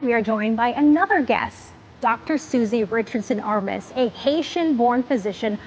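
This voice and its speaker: A woman hosting a podcast-style interview, making bright introductions and affirming her guests often. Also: on the high side, animated, moderate pace, highs cut off, soft background music. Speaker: host.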